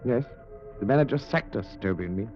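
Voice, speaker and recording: An actor with a British accent playing an obsessive, possessive ventriloquist in an overwrought, theatrical 1940s radio style. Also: male voice, medium pitch, animated, fast talker, over music, natural voice. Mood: dejected, bitter. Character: unhinged, possessive male ventriloquist obsessed with his dummy. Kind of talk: 1940s old-time radio acting. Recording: muffled, noisy vintage radio recording.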